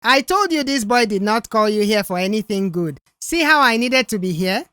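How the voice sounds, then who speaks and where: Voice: mid-range voice, lively intonation, normal speed, pushed and bright, dry recording, studio quality, voice pushed up. A narrator voicing a brash, scornful middle-aged mother who scolds dramatically in an audiobook. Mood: scornful, triumphant.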